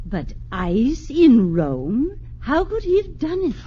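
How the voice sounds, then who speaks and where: A woman's voice: high voice, wildly swooping pitch, takes it slowly, mellow, a little hiss or hum, exaggerated voice. An actress playing a haughty, complaining Roman noblewoman at a banquet, in 1940s radio acting, on an old transcription recording. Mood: peevish. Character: peevish Roman noblewoman, hard to please. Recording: dull transcription disc recording.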